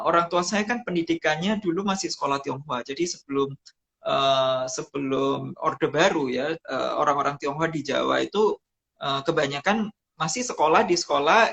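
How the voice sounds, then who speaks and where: A man from Java tells his story over a video call in a fairly high voice. He speaks reflectively and stops often on hesitant "eh" sounds as he gathers his thoughts. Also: fairly bright tone. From Java, Indonesia. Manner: reflective, with frequent "eh" pauses between phrases. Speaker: guest, writer and photographer. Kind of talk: conversational storytelling in a live-streamed interview.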